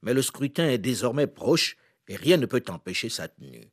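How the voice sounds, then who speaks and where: A man hosting a history radio program, reading scripted documentary narration in a measured, solemn way. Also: mid-range voice, big pitch swings, breathy edge, no room sound, studio quality.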